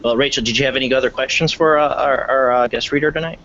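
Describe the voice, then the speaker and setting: A man's voice: mid-range voice, fairly even intonation, quick pace, a bit bright. A man hosting a call-in show in a casual, informal and joking way. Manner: informal, joking, self-deprecating. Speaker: talk show host. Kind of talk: casual chat, hosting an open-line show. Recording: muffled online call, faint background noise.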